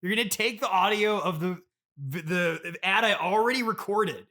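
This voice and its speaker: A spoiled teenage boy, played by a man, speaks in a high, sharp, bright voice. He is demanding and bratty, insisting on his way like the heir to a fortune in a comedy podcast. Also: moderate pace. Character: spoiled, demanding fifteen-year-old son of a billionaire. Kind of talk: improvised comedy podcast interview.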